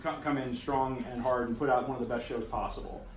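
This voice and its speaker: A man's voice: mid-range voice, steady tone, fairly quick. Man addressing a group, echoey room. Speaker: theatre company playwright and director. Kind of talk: informal talk to a cast. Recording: echoey room, distant mic, faint noise.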